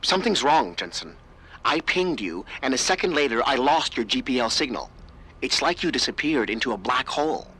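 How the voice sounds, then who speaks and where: A man's voice: mid-range voice, very animated, fairly quick, bright, forceful tone, breathy edge, full character voice, rough recording. An actor voicing an anxious, snippy male tech expert on comms in a video game, exasperated and sardonic. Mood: urgent, sardonic.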